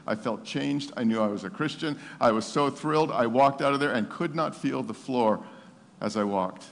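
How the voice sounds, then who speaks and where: This is a man of seventy preaching a sermon in a hall with long reverberation. His voice has a noticeable gravelly fry at a middling pitch. He tells his testimony as an animated storyteller, emphatic and fond of repeating a phrase. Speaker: pastor preaching to his congregation.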